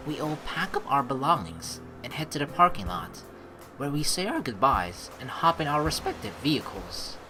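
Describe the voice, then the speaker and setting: A woman hosting a gaming video, reading game narration aloud and reacting in a chatty, theatrical way. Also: deep voice. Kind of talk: let's play commentary and read-aloud game narration. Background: faint game music.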